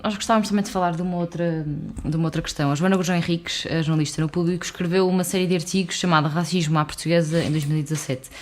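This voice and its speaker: Quick-speaking woman interviewer. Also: fairly low pitch, fairly even intonation. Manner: measured, sets up questions with context. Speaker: podcast host, interviewer. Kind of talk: interview questions.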